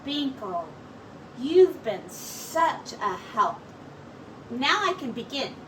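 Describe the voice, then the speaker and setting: A noisy recording carries a woman acting as a gentle, earnest lady hat-maker from a storybook. She speaks slowly in a high voice, drawing her phrases out expressively. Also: sing-song, recorded from a distance, exaggerated voice. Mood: grateful.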